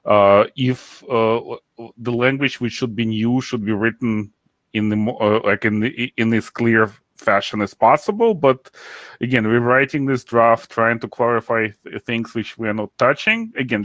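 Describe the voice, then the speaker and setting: A man's voice: on the low side, dry recording. A man commenting at a technical meeting, insistently restating his point. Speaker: meeting participant. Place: standards meeting room.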